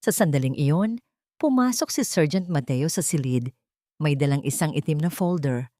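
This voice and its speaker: Audiobook narrator: woman, lower register, scripted dramatic storytelling, very dry recording. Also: animated, normal speed, warm tone, dry recording.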